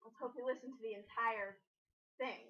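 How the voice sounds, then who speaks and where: A woman's voice: on the high side, steady tone, normal speed, dull audio. A woman giving casual critical commentary in a blunt, exasperated, lecturing way.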